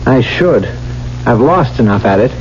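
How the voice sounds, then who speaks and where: An actor playing a worried, sensible friend in a 1970s radio drama, earnest and cautioning. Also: male voice, medium pitch, lively intonation, unhurried, lightly acted. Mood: doubtful. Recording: dull, noisy old radio recording.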